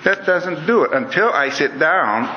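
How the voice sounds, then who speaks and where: A man's voice: a little high, expressive, measured pace, slight background noise. A middle-aged man giving an earnest, conversational talk, often saying "you know" and restarting his phrases. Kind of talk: reflective lecture to an audience. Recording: dull lo-fi recording in a reverberant hall.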